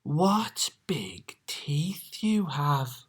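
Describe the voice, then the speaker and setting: Happy little girl in a red cloak, storybook voice, high and singy, man doing it. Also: huge pitch swings, unhurried, vocal fry, sharp tone, slight background noise, full character voice. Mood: wondering.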